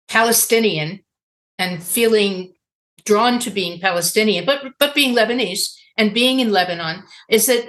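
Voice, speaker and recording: A woman's voice: fairly high pitch, a bit bright. A woman moderating a panel, asking questions in a conversational interviewing style.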